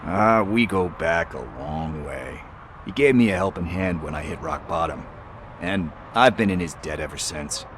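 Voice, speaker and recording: An actor playing a chatty, evasive street hustler who talks in wheedling casual slang. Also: male voice, deep voice, moderate pace, background noise, lightly acted. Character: shifty street hustler, middle-aged man, chatty and evasive.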